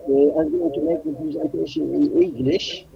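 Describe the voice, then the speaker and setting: Gravelly man with a Turkish accent. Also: fairly high pitch, flat delivery, dull audio, a crowd in the background. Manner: frequent hesitations, halting. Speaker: conference speaker, professor. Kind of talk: academic presentation.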